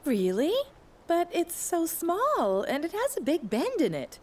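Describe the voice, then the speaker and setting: A woman playing a warm, encouraging young mother in a preschool cartoon. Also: very high-pitched, huge pitch swings, a little slow, exaggerated voice.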